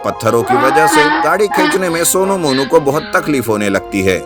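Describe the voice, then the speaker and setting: A man narrating an animated children's story from a script. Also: a little high, normal speed. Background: background music.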